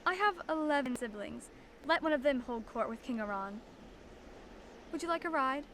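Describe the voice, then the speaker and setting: A bold fairy princess acted by a woman in a fantasy audio drama. Her high voice is playful and sure of itself, with faint noise behind it. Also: animated, normal speed, fairly bright tone. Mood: playful, teasing. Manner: playful, self-assured. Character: bold, teasing fairy princess, curious and confident.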